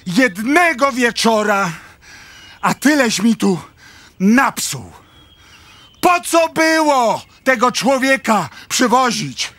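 A man's voice: very high voice, sing-song, takes it slowly, a bit bright, no room sound, heavily acted. A man playing an indignant, frantic aristocratic father in a radio drama, with a blustering, exclamatory theatrical delivery.

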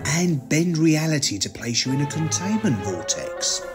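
A man's voice: on the high side, sing-song, normal speed. An actor playing a taunting male alien villain who feeds on fear, in a fan-made audio drama. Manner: taunting, gloating. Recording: loud music underneath.

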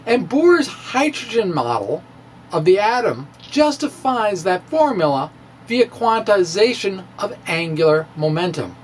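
A middle-aged man delivers a science script like a dramatic reading. He takes his time, leaning hard on words and swinging his pitch widely, with asides thrown in. Faint noise sits behind his voice. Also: sing-song. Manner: theatrical, emphatic, with drawn-out words and asides. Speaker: science video blogger. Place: small room with bookshelves, indoors. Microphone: microphone on a stand, close in front.

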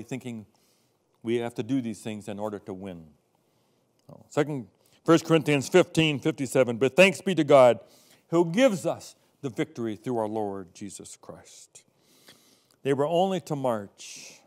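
An older man preaching a sermon on a studio set, moving between quiet asides and loud, emphatic scripture readings, recorded on a lapel mic. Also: medium pitch. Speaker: pastor.

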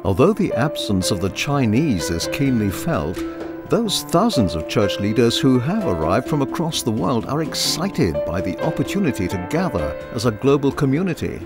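Animated male documentary narrator over music. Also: medium pitch, normal speed. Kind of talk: scripted narration. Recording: music bed under the voice. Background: loud music.

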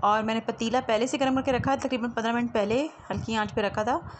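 A woman's voice: fairly high pitch, steady tone, fast. A woman giving a casual recipe voice-over as a home cooking host. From Pakistan. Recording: clearly noisy homemade voice-over recording.

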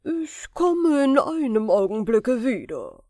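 Woman as powerful male genie servant, storybook fairy tale, dramatic, commanding, high-set lively delivery. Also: slow, no echo, studio quality, full character voice. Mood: proud, eager. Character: powerful obedient male genie serving his master.

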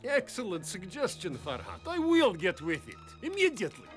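A man's voice: high voice, wildly swooping pitch, normal speed, faint music behind. A man voicing a scheming, fawning little villainous creature in a cartoon, theatrical and obsequious. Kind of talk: cartoon voice acting.

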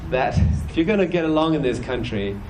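A British man in his forties with an accent softened by years in America, giving a relaxed, good-humored Sunday sermon into a stand microphone. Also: on the high side, noisy background. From British, raised in several countries. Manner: conversational and good-humored, many "you know"s, puts on quick voices. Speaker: church speaker. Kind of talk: Sunday sermon with personal testimony. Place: church hall stage.

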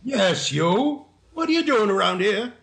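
A man's voice: high-pitched, big pitch swings, unhurried, creaky, fairly bright tone, full character voice. A man playing a kindly, paternal policeman in an old-time radio sitcom. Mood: suspicious, amused.

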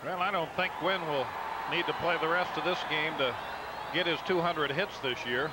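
A man giving relaxed baseball color commentary, adding short pieces of analysis to the play-by-play. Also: on the high side, moderate pace. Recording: broadcast booth over loud crowd noise. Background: stadium crowd ambience.

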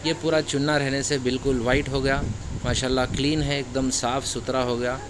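Farmer-host, friendly explainer, mid pitch, phone audio in a shed with light hum. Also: male voice, little pitch movement, brisk. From Hyderabad, India. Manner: friendly instructional talk with religious greetings. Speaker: goat farmer and channel host. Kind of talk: casual how-to vlog narration. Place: indoor goat shed, off camera.

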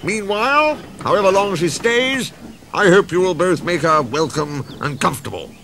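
A man's voice: high-pitched, lively intonation, moderate pace, gravelly, bright, forceful tone, a little hiss or hum, pitched above the performer's own voice, full character voice. A man playing a pompous, commanding railway controller in a children's storybook, speaking formally and with authority. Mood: brisk, formal.

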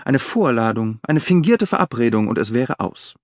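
Brief: a man reading an impatient, sardonic audiobook detective, lively pitch swings, dry close sound. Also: moderate pace, dry recording, close to an everyday voice. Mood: restless, sardonic. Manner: animated, sardonic, declaiming. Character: restless, impatient middle-aged male detective. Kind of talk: audiobook character voice.

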